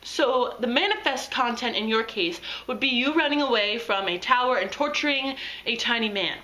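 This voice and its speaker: A woman's voice: on the high side, moderate pace, bright, forceful tone. A woman playing a pompous, self-absorbed male psychoanalyst in an amateur comedy skit, clinical and easily flustered. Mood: analytical. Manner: clinical, self-important, flustered stammering when challenged. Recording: roomy echo, distant microphone.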